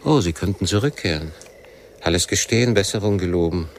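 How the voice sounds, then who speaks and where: A low-voiced, world-weary guerrilla captain. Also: male voice, slight background noise. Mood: weary, cynical. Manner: measured interrogation with abrupt probing questions. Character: world-weary, shrewd Palestinian guerrilla captain, coolly probing. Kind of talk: radio crime drama acting.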